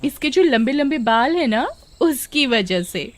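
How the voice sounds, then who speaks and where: Woman doing a shrill-pitched, mocking village bully girl. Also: animated, a little slow. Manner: taunting, exclamatory. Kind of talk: animated story acting.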